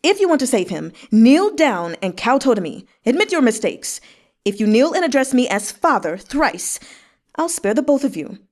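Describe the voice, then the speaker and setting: A woman puts on a sneering male villain from a historical novel. The taunts are cruel and domineering, delivered at a high pitch with big swings in intonation. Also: sing-song, moderate pace, darkish tone. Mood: hostile, commanding. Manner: mocking, hostile, domineering. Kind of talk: dramatic read-aloud of a historical novel.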